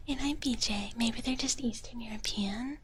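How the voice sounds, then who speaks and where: A woman in a gentle dragon big-sister persona whispers softly and breathily close to the mic, chatting casually and cutely as an ASMR livestream host. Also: a little high, slight background noise. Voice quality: soft, breathy, mostly unvoiced whisper. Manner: slow, cutesy, gentle, refers to herself in third person. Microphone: close ASMR microphone.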